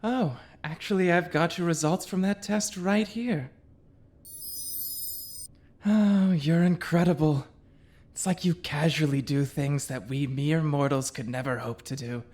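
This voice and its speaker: A woman playing a sarcastic, exasperated male high school teacher in an anime fan dub, with a dry, scolding delivery. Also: unhurried, darkish tone. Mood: dry sarcasm. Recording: music behind the voice.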